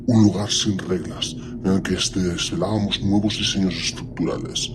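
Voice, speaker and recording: A man's voice: low-pitched, fairly even intonation, moderate pace, music in the background, lightly acted. A man playing an ancient superhuman warlord in an audio drama, speaking with cold, commanding disdain and menace. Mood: solemn. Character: ancient imperious superhuman warlord primarch, menacing and coldly condescending.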